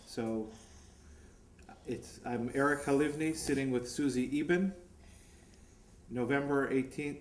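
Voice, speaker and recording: A man conducts an oral history interview, asking friendly questions that halt now and then. His mid-pitched voice sounds roomy, with a clear echo around it. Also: a little mellow.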